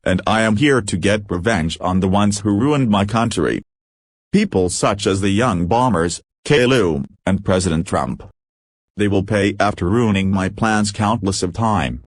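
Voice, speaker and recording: A man's voice: on the low side, expressive, measured pace, no echo. A man playing a gloating, menacing villain mastermind bent on revenge in an amateur fan-made drama. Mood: vengeful. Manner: boastful, menacing. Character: gloating vengeful male villain mastermind with evil plans.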